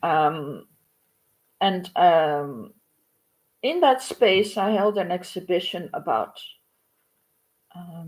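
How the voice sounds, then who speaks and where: A woman talks through a livestream. Her voice sits a little low and her delivery is slow. She pauses often and fills the gaps with hesitant sounds as she thinks aloud. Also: a little mellow, poor audio. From Ukraine. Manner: halting and reflective, many pauses and fillers. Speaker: artist. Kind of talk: livestream monologue.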